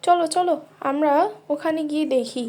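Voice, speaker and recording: A woman's voice: sits high, normal speed, a little mellow, close to an everyday voice. A woman voicing a small brave bird in a cartoon story, earnest, insistent and pleading. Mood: curious, urgent. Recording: music under the voice. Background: music.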